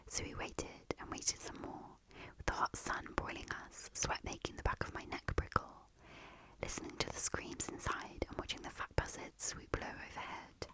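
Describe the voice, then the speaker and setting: A woman's voice: moderate pace, poor audio. Woman whispering a spooky storybook. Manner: soft, hushed storytelling. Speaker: narrator.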